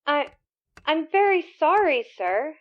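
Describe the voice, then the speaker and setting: A woman's voice: high-pitched, sing-song, slow pace, highs cut off, full character voice. A woman playing a polite, formal, hesitant household servant in 1930s radio drama style. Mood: hesitant, apologetic.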